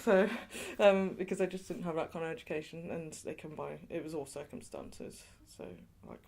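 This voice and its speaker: A woman's voice: low-pitched, vocal fry, darkish tone, husky. A woman from England being interviewed, talking in a chatty way with lots of "you know" and light laughs. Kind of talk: oral history interview.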